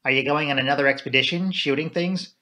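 A man voicing a lively, teasing young woman in a light, earnest manner for an audiobook. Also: medium pitch, fast talker, natural voice. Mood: eager.

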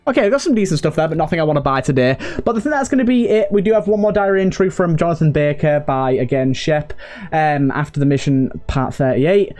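Man commentating a game, higher-pitched voice. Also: quick pace, darkish tone, soft background music. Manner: thinking aloud in short remarks. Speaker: gameplay host.

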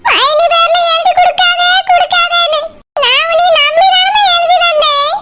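Squeaky, wailing old mother-in-law. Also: fairly even intonation, normal speed. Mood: tearful pleading. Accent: southern Tamil Nadu. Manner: wailing, imploring. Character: aggrieved, pleading old mother-in-law, tearful and indignant. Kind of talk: family drama skit acting. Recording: very noisy recording with clicks.

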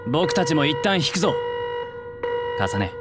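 Impatient soldier, lively intonation. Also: male voice, fairly high pitch, moderate pace, heavy background noise. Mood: commanding. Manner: curt, insistent. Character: impatient, stern young male soldier. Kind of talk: Japanese anime game voice acting.

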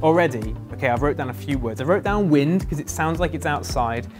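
A man's voice: fairly high pitch, animated, fairly quick, loud music behind the voice. Chatty male drama teacher in his thirties. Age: 30s. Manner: chatty, explanatory, listing examples. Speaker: drama teacher and video presenter. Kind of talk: casual instructional talk to camera. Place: large dark theatre hall, seated at a desk.

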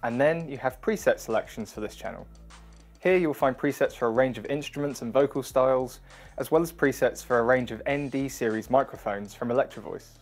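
A man in his thirties narrates a scripted product walkthrough. His delivery is clear and instructional, at a middling pitch, with music playing behind him. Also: a little fast. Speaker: product demonstration presenter. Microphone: wireless lavalier or close studio mic. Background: background music.

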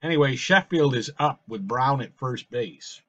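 A man calling a simulated baseball game in casual play-by-play, his voice slightly raspy from a cold, rambling and correcting himself as he goes. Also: medium pitch, lively intonation, moderate pace, fairly bright tone, lo-fi sound. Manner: rambling, with fillers and self-corrections. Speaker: host playing a baseball sim.

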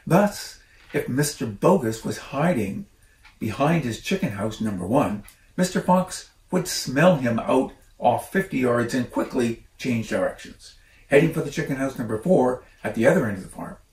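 An older man reads a children's book aloud in a casual, unhurried way, breaking off for chatty asides. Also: mid-range voice, expressive, a little mellow. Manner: informal, unhurried, commenting as he reads. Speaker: storyteller reading a children's book. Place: living room by a stone fireplace. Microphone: camera a few feet away. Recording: roomy, distant mic, faint noise.

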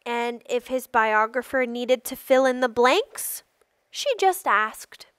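A woman reading a children's picture book aloud expressively, with deliberate phrasing and emphatic stresses. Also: fairly high pitch, lively intonation, a bit bright, clean tone, no echo, studio quality. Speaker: storybook reader.

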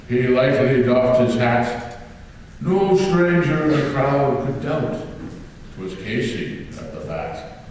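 An older man, a teacher, reads a comic poem aloud from a lectern with swelling dramatic emphasis. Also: slight background noise. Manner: reads from the page with swelling emphasis and lively shifts of pitch. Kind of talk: dramatic poetry recitation. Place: large hall, on a stage. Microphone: lectern microphone heard from a distant camera. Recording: echoey hall, voice from far off.

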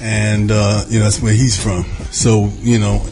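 An older man from New York answering interview questions slowly, with many pauses and fillers. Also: lowish voice, little pitch movement. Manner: slow, hesitant, many fillers. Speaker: guest.

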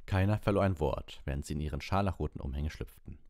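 A man's voice: very deep voice, fast talker, darkish tone, no echo, clean studio sound. A man reading a story aloud as an audiobook narrator.

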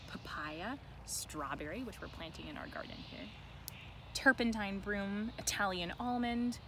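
A woman reads a children's book aloud in an expressive, deliberate way, adding chatty asides. Her voice sits at a middle pitch. She is recorded outdoors on a camera, with clear ambient noise behind her. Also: poor audio. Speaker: educator reading a picture book aloud to children. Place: outdoors in a sunny farm garden. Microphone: camera held by another person nearby.

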